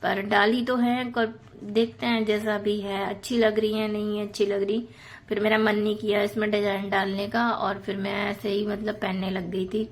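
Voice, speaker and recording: A woman in her thirties with a hoarse, sore throat, chatting casually to viewers about her housework in a voice-over. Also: medium pitch, level tone, normal speed, rough recording. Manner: chatty, many fillers, addresses viewers as friends. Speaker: home vlogger.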